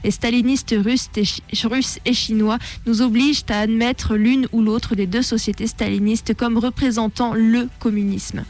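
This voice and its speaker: A woman's voice: fairly high pitch, fairly even intonation, normal speed, few pauses, a little mellow. A woman formally reading a political text aloud on radio, occasionally stumbling. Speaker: reader on a radio show.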